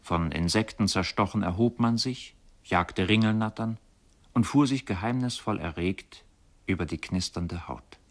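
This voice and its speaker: Solemn dreamy man, deep register, slow deliberate pace, poetic radio-play recitation. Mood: dreamy, nostalgic.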